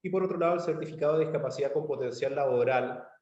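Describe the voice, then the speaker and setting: A man with a Chilean accent giving a measured conference presentation over a video call, with frequent fillers and restarts. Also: on the high side, a little mellow, reverberant, highs cut off. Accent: Chilean Spanish. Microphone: computer mic on a video call.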